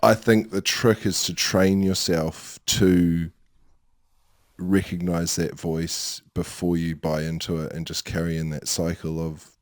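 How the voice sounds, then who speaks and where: Deep-voiced reflective podcast host. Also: male voice, no echo, studio quality. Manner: measured and reflective, with thoughtful pauses. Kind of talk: interview questions.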